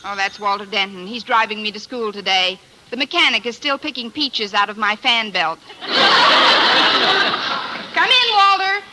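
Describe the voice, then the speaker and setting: An actress playing a wry, sharp-tongued schoolteacher, delivering dry deadpan wisecracks in a 1950s radio comedy. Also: female voice, mid-range voice, moderate pace, pauses often, natural voice. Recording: noisy old radio recording.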